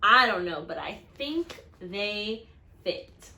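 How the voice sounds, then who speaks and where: A woman reading a children's picture book aloud expressively, acting out the dialogue and pausing to put questions to listeners. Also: mid-range voice, lively intonation, sharp tone, reverberant. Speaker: read-aloud storyteller.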